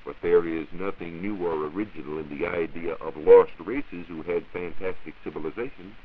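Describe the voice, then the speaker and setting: An American man giving a formal, measured, oratorical scripted science talk as a 1940s radio reporter. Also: deep voice, slightly rough. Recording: muffled, hissy 1940s radio recording.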